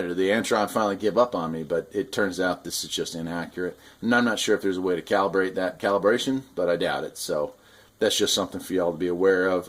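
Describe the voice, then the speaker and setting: A man giving a casual hands-on product demonstration, explaining things in an informal, conversational way as a hobbyist host. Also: sits low. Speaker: radio hobbyist host reviewing gear.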